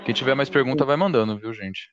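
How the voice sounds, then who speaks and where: A man hosting a casual conversation, relaxed and chatty, inviting questions from listeners. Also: medium pitch, quick pace, highs cut off, slight background noise. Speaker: host.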